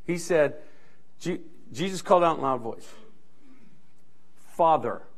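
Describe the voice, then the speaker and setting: Middle-aged male pastor, high-pitched, animated preacher who repeats his points for effect. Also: a little slow. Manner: animated, strolling the stage and gesturing; emphatic, repeating key phrases. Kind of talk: sermon. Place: church stage, indoors. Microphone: handheld mic.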